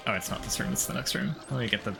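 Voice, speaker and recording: A man's voice: medium pitch, racing, vocal fry. A man giving casual, rambling gameplay commentary as a streamer, swearing freely and picking at details. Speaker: streamer playing a video game. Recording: game music behind the voice. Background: video game music.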